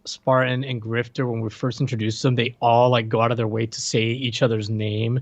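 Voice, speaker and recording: A man's voice: mid-range voice, flat delivery, brisk, no echo, muffled recording. A man co-hosting a podcast, chatting casually and hesitantly with lots of fillers. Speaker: podcast co-host. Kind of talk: casual chat.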